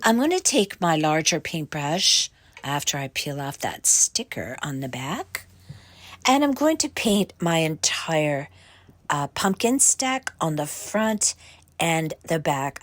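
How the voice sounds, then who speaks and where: A woman hosting a craft tutorial, her voice raspy and croaky from a cold, explaining each step slowly with fillers and self-corrections. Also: low-pitched, very animated, no echo. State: hoarse from a cold.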